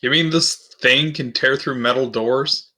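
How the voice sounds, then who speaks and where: A man's voice: medium pitch, measured pace, bright, forceful tone, husky. A man playing a tabletop role-playing game, talking in casual chat with the group.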